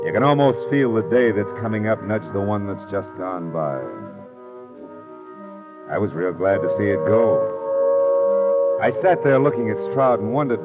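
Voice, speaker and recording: Wry, protective tough-guy detective, American, deep voice, clipped slangy delivery, dull vintage radio sound with music behind. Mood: weary, brooding. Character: hardboiled, world-weary male private detective, protective and wry. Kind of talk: 1940s radio drama acting and narration.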